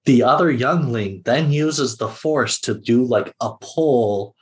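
A man on a podcast panel, chatting casually with halting, loose phrasing. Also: mid-range voice. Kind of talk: casual chat.

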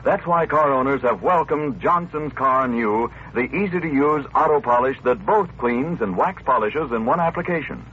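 A man's voice: medium pitch, normal speed, few pauses. A man reading a commercial as a 1940s radio announcer. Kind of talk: 1940s radio advertising read. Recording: tinny, noisy old radio recording.

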